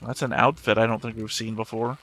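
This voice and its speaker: A man's voice: fairly low pitch, little pitch movement, fairly quick, fairly bright tone, soft background music. A man hosting an anime reaction channel, talking casually and thinking aloud in a rambling way.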